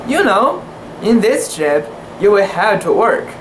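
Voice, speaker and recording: A woman's voice: medium pitch, measured pace. A woman voicing a blunt, folksy old male sea captain in a story reading. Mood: stern, grudging. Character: gruff old male sea captain, prejudiced, plain-spoken. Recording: echoey room with steady background hiss.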